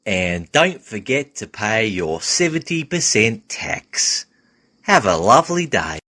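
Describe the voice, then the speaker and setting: A man's voice: lowish voice, sing-song, slow, bright, forceful tone, exaggerated voice. A man voicing a cheery game show host with a streak of dry mockery. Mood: mock cheerful.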